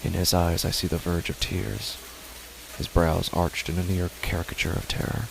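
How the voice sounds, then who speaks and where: A man narrating a first-person horror story in a slow, measured, hushed way over loud background music. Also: low-pitched, flat delivery, creaky, background noise. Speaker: narrator and podcast host.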